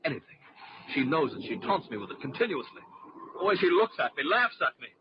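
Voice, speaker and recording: An actor playing a tormented, jealous artist with a halting, overwrought delivery in an old-time radio drama. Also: male voice, on the high side, sing-song. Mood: anguished confiding. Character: tormented, jealous male artist, brooding and short-tempered. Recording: muffled, noisy old radio recording.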